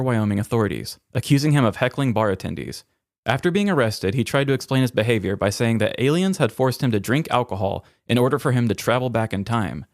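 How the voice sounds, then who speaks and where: A man's voice: mid-range voice, no room sound, studio quality. A man co-hosting a comedy podcast, telling stories in a casual, playful, conversational way. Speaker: podcast co-host.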